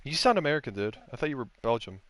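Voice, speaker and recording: Give me a man of medium pitch casually interviewing drivers after a race, joking and swearing. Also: very animated, rapid-fire, a bit bright. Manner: joking, laughing, crude banter. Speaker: host of a sim racing post-race stream.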